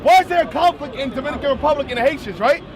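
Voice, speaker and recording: A man as a quick-talking street preacher with a very high voice, running the session. He keeps cutting in with "wait" and "hold on." A loud crowd sounds all around him on the street. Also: expressive. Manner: interrupts and directs, "wait, hold on".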